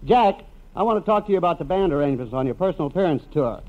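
A man's voice: on the high side, animated, racing, lightly acted. A man playing a brash, cheerful band pianist in a 1950s radio comedy. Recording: thin, tinny old radio phone call.

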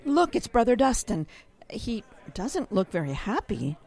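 A woman's voice: fairly high pitch, very animated, a little slow, gravelly, darkish tone, a faint crowd, exaggerated voice. An actress playing a dry, matter-of-fact woman in an audio drama. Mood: wry.

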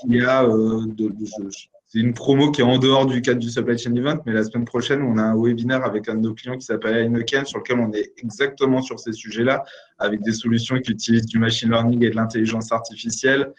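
A man from the vendor side promoting an upcoming webinar over a video call, starting haltingly. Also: medium pitch, steady tone. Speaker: software vendor representative. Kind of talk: video call panel discussion. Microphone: video call webcam.

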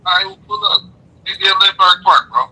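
Sharp, husky American man on a phone. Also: high-pitched, expressive, quick pace, poor audio. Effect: telephone. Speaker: prank call recipient. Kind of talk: terse, slangy phone argument. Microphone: phone call played on speaker.

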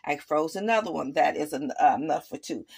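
A woman's voice: deep voice, expressive, a little fast, gravelly, a little mellow, husky. A woman filming a casual vlog on her phone in her home kitchen, talking in a rambling, plain-spoken way with lots of fillers and restarts. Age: adult student. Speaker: vlogger. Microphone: handheld phone camera.

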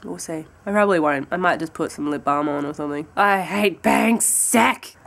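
A young Australian woman in her twenties with a husky voice from a cold. She chats casually to a handheld camera at home and rambles through her plans. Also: fairly low pitch, moderate pace, fairly bright tone. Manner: chatty and rambling, with light laughs. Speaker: vlogger. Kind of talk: casual vlog chat to camera. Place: at home, indoors, by a window. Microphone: handheld camera close to the face.

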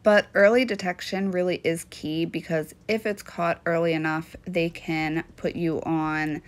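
A woman's voice: lowish voice, fairly even intonation, measured pace. A young woman from Maryland chatting earnestly to camera as a nail channel host, a bit sniffly from allergies. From Maryland, USA. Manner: chatty, earnest, with frequent fillers. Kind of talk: casual chat to camera.

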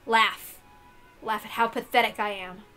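A woman's voice: a little high, fairly even intonation, measured pace, bright, forceful tone, faint music behind. A woman voicing an anguished, brooding young male game master in a dramatic visual novel reading, earnest and bitter. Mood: bitter despair.

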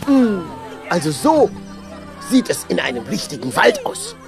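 Swooping, smitten cartoon cat. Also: male voice, sits high, huge pitch swings, unhurried, vocal fry, darkish tone, full character voice. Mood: smitten wonder. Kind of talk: animated film dubbing. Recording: faint music behind the voice.

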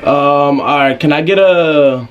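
A man's voice: on the high side, slow pace, highs cut off, slight background noise. A man in his twenties making casual prank calls in a laid-back, slangy, mock-earnest way from a bedroom. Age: 20s. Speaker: YouTube prankster. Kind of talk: casual prank phone calling. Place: bedroom, indoors. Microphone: camera mic at about arm's length.

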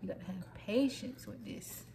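A woman's voice: medium pitch, moderate pace, mellow. A young woman helping her mother cook at home, joking around as she makes waffles. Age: young adult. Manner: playful, teasing. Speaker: host's daughter, helping cook. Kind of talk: casual banter while cooking. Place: home kitchen, indoors.

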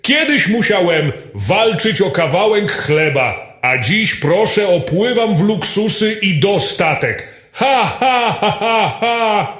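A man's voice: sits high, expressive, takes it slowly, a bit bright, heavily acted. A man playing a greedy despotic tycoon villain in an amateur radio play, smug and gloating, drawing out his words. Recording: roomy echo, faint music behind.

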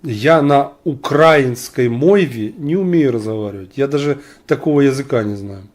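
A man's voice: medium pitch, normal speed, a little mellow. A man from Ukraine arguing on a video chat stream, blunt and mocking with sarcastic asides. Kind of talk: argumentative online video chat.

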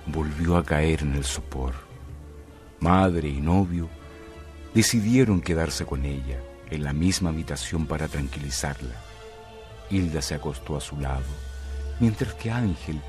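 A man narrating a mystery radio drama in a deliberate, dramatic way with pauses. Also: very deep voice, a little mellow, faint music behind.

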